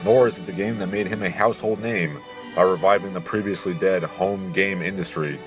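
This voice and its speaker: A man with a very deep voice reads as if for an audiobook. His delivery is pompous and theatrical, with grand, formal diction. Classical music plays behind him. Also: steady tone, a little fast. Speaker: audiobook narrator, video host. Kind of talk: scripted audiobook reading with introduction. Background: classical orchestral music.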